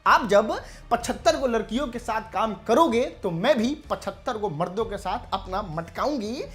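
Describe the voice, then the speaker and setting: Lady, highish voice, gossipy explainer to viewers, teasing and over dramatic. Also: expressive, normal speed, faint music behind. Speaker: commentary channel host. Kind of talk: informal gossip commentary to viewers.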